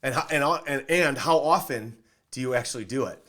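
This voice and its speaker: A man's voice: medium pitch, brisk, a bit bright. A man hosting a podcast in an upbeat interview style, enthusiastic and affirming as he talks. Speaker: podcast host.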